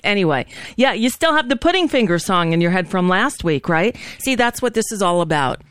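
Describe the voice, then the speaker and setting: A woman's voice: mid-range voice, animated, fairly quick, fairly bright tone, smooth, dry recording, clean studio sound. Rambling, sardonic woman host. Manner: rambling and sardonic, with frequent restarts. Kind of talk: casual political talk monologue. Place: living in Florida.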